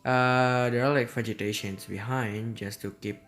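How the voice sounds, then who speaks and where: A man's voice: medium pitch, steady tone, moderate pace, fairly bright tone, faint music behind. Easygoing man. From Indonesia. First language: Indonesian. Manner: rambling, easygoing, hesitant. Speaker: game commentator. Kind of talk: casual gameplay commentary. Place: at home.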